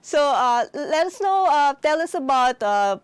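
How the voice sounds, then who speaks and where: A woman's voice: sits high, animated, measured pace, no echo. A woman hosting an interview, polite and conversational after a scripted opening. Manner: polite, scripted intro then conversational questions. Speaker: host.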